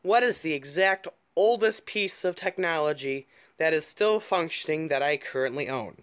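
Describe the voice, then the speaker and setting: A man's voice: fairly high pitch, lively intonation, normal speed, fairly bright tone, smooth. A man giving a casual, unscripted show-and-tell as a vlogger, rambling along in a matter-of-fact way. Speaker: vlogger showing an antique radio.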